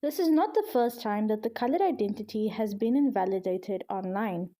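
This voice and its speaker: Mellow-voiced woman narrating a video essay. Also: mid-range voice, moderate pace. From South Africa. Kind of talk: scripted narration.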